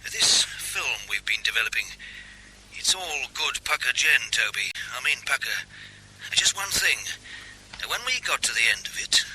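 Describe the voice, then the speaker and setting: An actor playing a chummy, brisk photo-lab technician in a radio drama, colloquial and confiding. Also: male voice, medium pitch, very animated, bright, forceful tone, husky, full character voice, poor audio.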